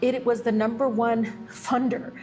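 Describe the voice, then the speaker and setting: A woman's voice: on the high side, level tone, measured pace, dark tone, slight background noise. A woman legislator in an impassioned political discussion, often restarting her sentences. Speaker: state legislator guest.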